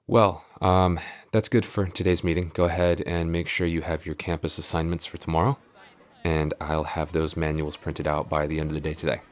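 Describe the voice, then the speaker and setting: Very deep-voiced, hesitant boyfriend played by a man. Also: little pitch movement, moderate pace, no echo, natural voice. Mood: businesslike, hesitant. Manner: stammering, hesitant, easily flustered. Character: flustered, shy tsundere boyfriend and office coworker. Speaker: voice actor in audio roleplay. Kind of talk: intimate boyfriend audio roleplay acting.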